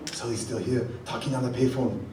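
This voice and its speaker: A man's voice: mid-range voice, steady tone, fairly quick, close to an everyday voice. A man playing an intense, commanding action-hero father, desperate and blunt, in a live radio play. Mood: grave, urgent. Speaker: amateur stage voice actor. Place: large indoor hall before an audience. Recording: echoey rehearsal hall, far mic, noisy.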